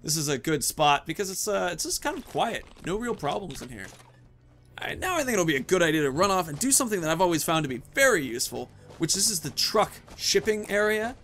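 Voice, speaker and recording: Higher-voiced man rambling through game commentary. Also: big pitch swings, fast, a bit bright. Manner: rambling, playful, talks to himself and the game. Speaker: gaming video host. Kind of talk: casual gameplay commentary.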